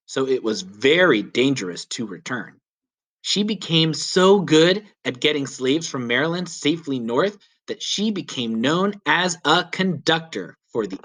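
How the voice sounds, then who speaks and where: Man reading aloud to children, very animated pitch. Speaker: teacher reading a story aloud. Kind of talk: children's read-aloud narration.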